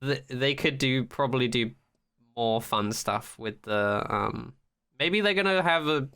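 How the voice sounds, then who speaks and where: Male podcast co-host, bright and clear, casual, opinionated, sweary. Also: medium pitch, unhurried. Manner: animated, opinionated, profane. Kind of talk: casual pop-culture discussion.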